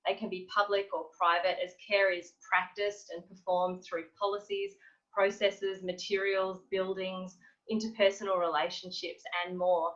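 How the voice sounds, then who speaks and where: A woman reading a prepared academic talk on a video call, in a measured, earnest way. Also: mid-range voice, little pitch movement, a bit bright. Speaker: panel speaker, researcher. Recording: dull lo-fi video call audio.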